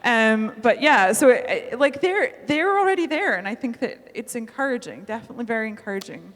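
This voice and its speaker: A woman's voice: a little high, lively intonation, a little fast, fairly bright tone. Chatty woman in her thirties, reverberant hall. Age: 30s. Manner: chatty, self-mocking, many fillers. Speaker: panelist. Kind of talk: casual panel talk. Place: festival talk hall.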